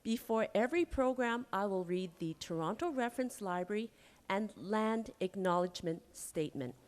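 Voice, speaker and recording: A woman's voice: mid-range voice, expressive, a little mellow. Woman reading a formal introduction. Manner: measured and formal. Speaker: library event presenter.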